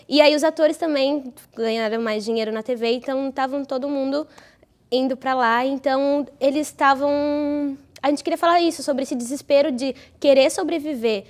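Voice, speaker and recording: A woman's voice: high-pitched, steady tone, normal speed. A woman, an actress explaining casually in an interview.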